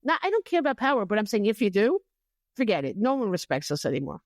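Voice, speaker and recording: A woman podcast host talks in a casual, opinionated style at a middling pitch. She sounds exasperated and throws out rhetorical questions. The sound is close and dry, with no room echo. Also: sing-song, quick pace.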